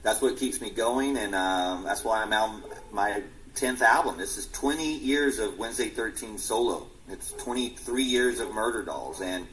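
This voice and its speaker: Man, forties, low voice, earnest, halting, interview guest. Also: fairly bright tone, slight background noise, rough recording. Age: 40s. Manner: earnest, halting with many restarts. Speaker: musician guest. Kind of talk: casual interview answers.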